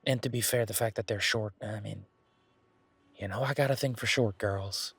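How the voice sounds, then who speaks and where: Man voicing a husky, low country-boy werewolf bodyguard. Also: a bit bright, no room sound, exaggerated voice. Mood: teasing, fond. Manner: folksy, informal, teasing, drawn-out hesitations. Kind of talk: roleplay audio drama, one-sided conversation.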